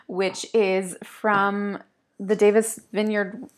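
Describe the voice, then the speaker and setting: A woman's voice: mid-range voice, little pitch movement, slow pace. Chatty young woman from upstate New York. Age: young adult. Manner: chatty, explains in detail, laughs often. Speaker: podcast co-host. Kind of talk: casual chat.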